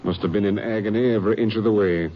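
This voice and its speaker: An actor playing a grim, determined Texas Ranger captain in an old radio crime drama, stern and commanding. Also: male voice, lowish voice, steady tone, quick pace, close to an everyday voice. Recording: muffled, hissy old radio recording.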